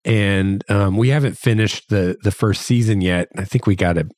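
Casting: male solo podcaster, a bit hoarse, lowish voice, dry close-mic sound, easygoing and rambling. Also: moderate pace, no room sound. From American Midwest. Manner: relaxed, chatty, with frequent fillers and repeated words. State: slightly hoarse from allergies. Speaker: podcast host. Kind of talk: casual solo podcast monologue.

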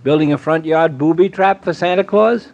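An actor playing a wry middle-aged doctor and family friend who needles people with sardonic wit in a 1950s radio comedy. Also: male voice, fairly high pitch, moderate pace, vocal fry, a little mellow, highs cut off. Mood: sardonic, needling. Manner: sardonic, dry-witted teasing. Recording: noisy vintage 1950s radio recording.

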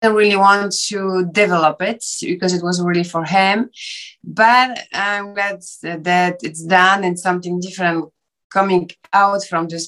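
A woman with a Polish accent, an actress and director, answering interview questions in a halting way and often stopping to search for words. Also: on the low side, a bit bright. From Poland. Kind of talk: interview guest, casual conversation.

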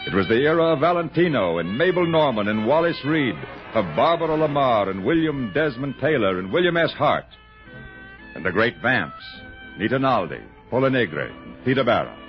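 A man's voice: mid-range voice, very animated, moderate pace. A man narrating a scripted 1960s radio drama in a florid, theatrical storytelling style. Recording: muffled old radio broadcast with music.

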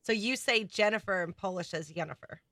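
A woman co-hosting a podcast in casual chat, wry and quick to laugh. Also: medium pitch, fairly bright tone. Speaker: podcast co-host.

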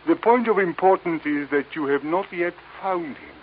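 A man's voice: a little high, lightly acted. An actor playing a small, formal, politely menacing gunman in a vintage radio drama. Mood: smooth, insinuating. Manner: formal, measured, overly polite. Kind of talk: 1950s radio drama acting. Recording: tinny, hissy old radio recording.

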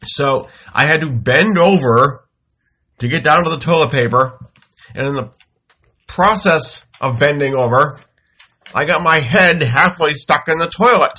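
A man hosting his own show, telling a comic story in a slow, wry deadpan with exasperated outbursts while congested from a head cold. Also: on the high side, husky. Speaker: podcast host. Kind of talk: comic monologue. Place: home studio.

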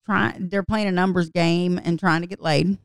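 A woman's voice: lowish voice, fairly even intonation, normal speed, no echo, studio quality. A woman co-hosting a casual podcast, a chatty storyteller. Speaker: podcast co-host. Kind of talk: casual chat.

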